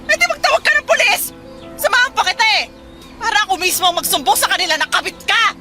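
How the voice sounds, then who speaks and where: Shrill, piercingly high jilted Filipino wife. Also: female voice, fast, sharp tone. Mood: furious, defiant. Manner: shrill, accusing, argumentative. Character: abandoned wife, obsessive, jealous, confrontational and bitter. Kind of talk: Filipino radio drama acting. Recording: music behind.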